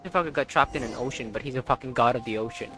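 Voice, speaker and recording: A man's voice: medium pitch, rapid-fire, sharp tone. Casual young man streaming a game. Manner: informal, sweary. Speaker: game livestreamer. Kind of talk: casual reactive gameplay commentary. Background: game music.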